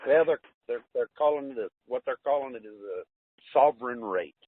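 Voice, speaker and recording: A man's voice: mid-range voice, a little fast, no echo. A man from the United States calling in, chatting casually and a little hesitantly over the telephone. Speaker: caller. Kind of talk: casual phone chat. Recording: narrowband tinny phone line.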